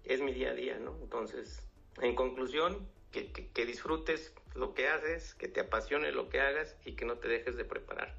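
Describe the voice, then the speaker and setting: A man's voice: medium pitch, moderate pace, gravelly, bright, forceful tone. A man, a finance professional giving earnest advice as an interview guest, often repeating words. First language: Spanish. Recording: dull lo-fi audio, faint music.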